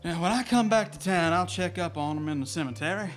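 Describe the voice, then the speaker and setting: A man's voice: high voice, quick pace, soft background music, close to an everyday voice. A man with a Southern US accent playing an easygoing, folksy club owner in scripted comedy. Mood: matter-of-fact.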